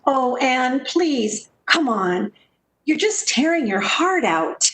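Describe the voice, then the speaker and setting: A woman's voice: high voice, very animated, takes it slowly, fairly bright tone, exaggerated voice, low-quality recording. An actress playing an anxious, sensible woman pleading with her friend, in 1940s radio drama style. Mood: worried, pleading. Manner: pleading, cautious.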